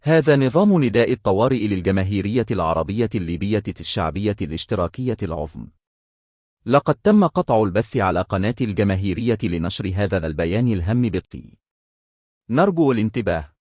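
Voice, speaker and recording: A man's voice: on the low side, lively intonation, fast talker, darkish tone, no room sound, studio quality. A man reading a scripted emergency announcement as a broadcast announcer, in a formal, solemn manner.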